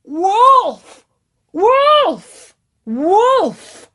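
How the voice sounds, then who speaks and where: A woman acts out a mischievous shepherd boy from a storybook. The voice leaps up high and loud, calling out across the fields as a prankster would. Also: very animated, very slow, full character voice. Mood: mock alarm.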